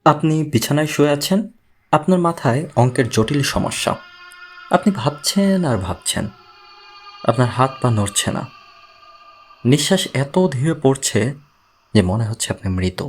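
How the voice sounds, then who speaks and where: A man voicing a patient, analytical male investigator in an audiobook story, explaining and questioning in a measured way. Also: mid-range voice, lively intonation, husky, faint music behind. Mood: explaining intently.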